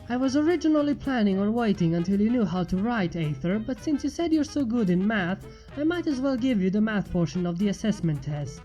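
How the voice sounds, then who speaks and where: A woman voicing a kind, encouraging pony schoolteacher with a gentle, instructive manner in a story reading. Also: mid-range voice, very animated, a little fast, faint music behind, close to an everyday voice, poor audio.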